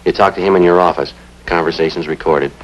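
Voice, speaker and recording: An American actor playing a terse, relentless police detective in a 1950s television crime drama, reciting facts in a clipped, deadpan way. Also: male voice, low-pitched, quick pace. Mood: flatly factual. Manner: deadpan, matter-of-fact, clipped. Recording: dull vintage 1950s TV soundtrack.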